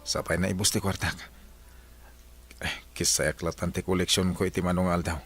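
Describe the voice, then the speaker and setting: Guy playing a sweet-talking sneaky husband in a radio drama, low voice, music under it. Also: level tone, normal speed, close to an everyday voice. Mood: scheming. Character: sly, flirtatious married man, sweet-talking and scheming. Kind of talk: Filipino comedy-drama radio play acting.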